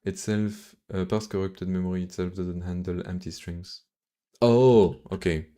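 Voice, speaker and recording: A man with a French accent and a very low voice, casually commenting on his own coding. He takes his time, muttering hesitantly to himself, and his tone is dark and mellow. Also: fairly even intonation, unhurried. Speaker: live-streaming programmer.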